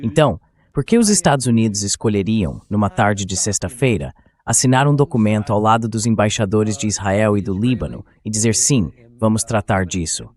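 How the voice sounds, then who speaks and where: A man's voice: fairly low pitch, expressive, fairly quick, darkish tone. A man with a Brazilian accent reading a translated voice-over of political news commentary as the show's host.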